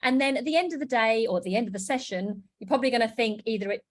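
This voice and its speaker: Bubbly british woman in her thirties pitching on a video call, gushing and upbeat. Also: rapid-fire. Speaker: business presenter. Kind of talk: online sales presentation.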